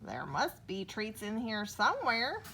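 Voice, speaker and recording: Storybook role: woman as pushy young male monster, greedy and mischievous, loud and lively. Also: medium pitch, unhurried, creaky, a little hiss or hum, exaggerated voice. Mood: insistent.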